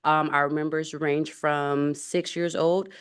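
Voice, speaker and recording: A woman program manager speaking hesitantly, with many pauses, at a city commission meeting. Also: deep voice, little pitch movement, smooth. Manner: hesitant, filler-heavy.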